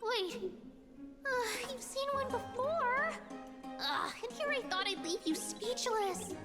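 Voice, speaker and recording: High, impish young cat-girl thief. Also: lively intonation, slow pace, over loud music, full character voice. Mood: teasing. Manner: impish, showy, teasing. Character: playful, cheeky young female catlike thief. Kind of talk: video game voice acting.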